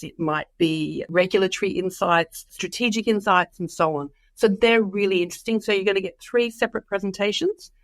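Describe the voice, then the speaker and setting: A woman's voice: medium pitch, no room sound. Woman with a flu-worn voice. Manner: enthusiastic, rambling with many fillers. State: recovering from flu, voice comes and goes. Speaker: conference guest. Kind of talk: casual interview chat.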